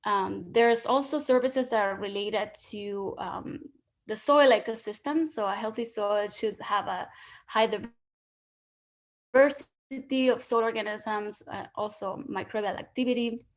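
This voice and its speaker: A woman's voice: medium pitch, unhurried. A woman from Spain with a Spanish accent, giving an online science lecture as a guest speaker, explaining step by step with frequent fillers and restarts. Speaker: guest lecturer, soil ecologist. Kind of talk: online presentation. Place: home or office, video call. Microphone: video call.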